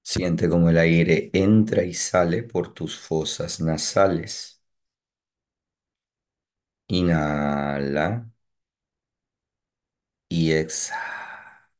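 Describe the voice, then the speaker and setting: A man leading a guided meditation, giving calm instructions in short phrases with long pauses between them. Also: sits low.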